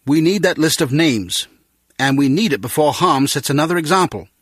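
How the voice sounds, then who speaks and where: An actor playing a calm, fatherly senior intelligence chief in a video game, measured and authoritative. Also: on the high side, slightly rough, no echo, clean studio sound. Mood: calm, encouraging.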